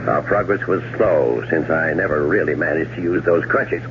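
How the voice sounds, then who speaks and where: An actor playing a cold-blooded, middle-aged scheming brother in a 1970s radio drama, suave, sardonic and deliberate. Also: male voice, a bass voice. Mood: measured narrating. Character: scheming, cold-blooded older brother plotting his wife's murder. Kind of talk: 1970s radio drama acting and first-person narration. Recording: dull, noisy old radio broadcast recording.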